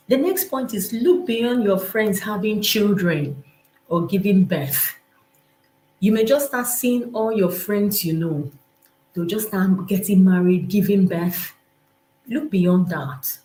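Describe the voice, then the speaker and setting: A woman's voice: mid-range voice, expressive, takes it slowly, pauses often, warm tone. A woman with a Nigerian accent gives informal, motivational teaching on a live stream, speaking emphatically and conversationally with a lot of repetition. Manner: emphatic, repetitive, conversational, acting out imagined remarks. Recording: roomy, echoing live-stream sound.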